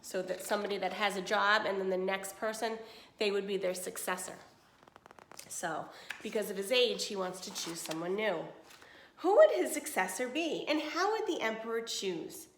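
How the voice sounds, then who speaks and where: A woman reading a children's story aloud with expression, pausing to explain and put questions to her listeners. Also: mid-range voice, roomy sound, slight background noise. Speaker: teacher reading a picture book aloud.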